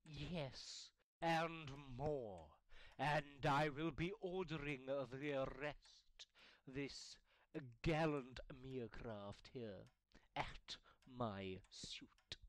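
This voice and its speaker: An amateur actor playing a self-important, gullible constable with a deliberate, pompous manner in an early modern comedy audio drama. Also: mid-range voice, no echo. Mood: officious. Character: slow, self-important constable, easily taken in.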